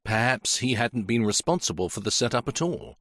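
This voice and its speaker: A man narrating a mystery audiobook in a measured, expressive reading. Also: mid-range voice, no room sound, clean studio sound. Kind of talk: scripted story narration.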